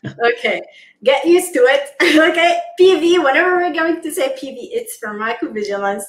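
High-voiced, eager young woman on a roomy call. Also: expressive, moderate pace. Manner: enthusiastic, admiring. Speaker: presenter. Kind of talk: online interview. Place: home room with bookshelves. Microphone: video call.

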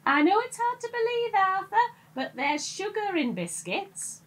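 A British actress playing a chatty middle-aged detective's wife in an audio drama, in natural domestic dialogue. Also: female voice, extremely high pitch, sing-song, unhurried, fairly bright tone, full character voice. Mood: teasing.

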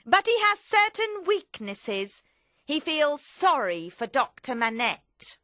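A woman voicing a cold, vengeful revolutionary woman in a storybook reading, forceful, deliberate and ominous. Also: sits high, sing-song, dry recording, studio quality, voice pushed up, heavily acted. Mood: scornful.

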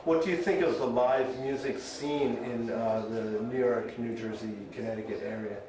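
Halting male interviewer, very echoey room. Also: medium pitch, fairly even intonation, crowd noise. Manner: halting, many fillers. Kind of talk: casual interview. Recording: very echoey room, distant mic, music behind.

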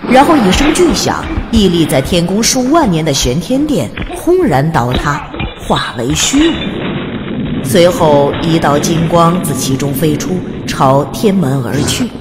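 A woman's voice: on the low side, big pitch swings, measured pace, music in the background. A woman reading a fantasy audiobook as narrator, in a dramatic scripted storytelling style, with reverb on her voice.

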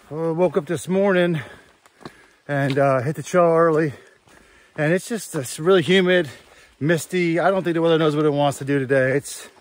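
A man in his thirties vlogging his thru-hike in a casual, upbeat, easygoing way, filming himself with a phone at arm's length on a misty forest trail. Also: fairly high pitch. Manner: upbeat, easygoing, drawn-out fillers. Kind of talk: casual trail vlog to camera. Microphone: phone held at arm's length.

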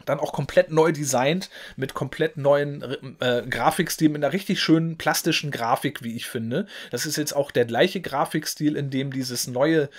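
A man in his forties talks casually to camera about games, in a voice that sits a little high. He rambles and leans on fillers and little tag questions. He speaks into a desk mic in a room at home. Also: normal speed, hardly any pauses. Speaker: gaming video host. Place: home room with game shelves, indoors. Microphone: desk mic in front.

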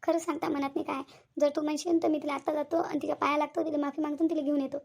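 Woman playing a fast, high-pitched fretful Varhadi mother. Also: little pitch movement, vocal fry, darkish tone. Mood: anxious pleading. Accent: Varhadi Marathi from Vidarbha. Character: fretful, wheedling middle-aged mother of a grown son. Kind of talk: cartoon family drama dialogue.

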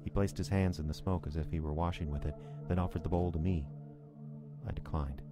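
An extremely low-pitched man an actor narrates an audio drama as a sober, uneasy witness. His telling is measured and literary. Music is clearly heard beneath the voice. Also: steady tone, lightly acted. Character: sober male narrator, uneasy listener to Ovid's tale.

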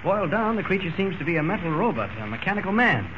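An actor playing a calm young man newspaper reporter in 1940s radio-drama style, steady and polite, on a muffled old recording with hiss. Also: sits high, fast talker. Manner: steady, matter-of-fact, polite.

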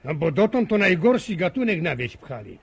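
A man's voice: high-pitched, big pitch swings, a little fast, breathy edge, muffled recording, heavily acted. An actor playing a good-natured village man in a radio drama, chatty and persuasive in colloquial rural speech. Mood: knowing.

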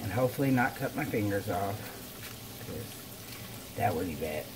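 A woman explains each step informally, as in a casual cooking vlog. She is recorded at home in a kitchen, with clear background noise of frying and clattering dishes. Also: very deep voice, animated, measured pace. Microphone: camera on counter or at arm's length.

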